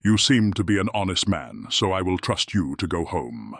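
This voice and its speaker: A man's voice: sits low, big pitch swings, moderate pace, vocal fry, a bit bright, dry recording, clean studio sound, heavily acted. A man playing a grim, commanding beast in a dramatized fairy tale audiobook, speaking formally. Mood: grim, threatening.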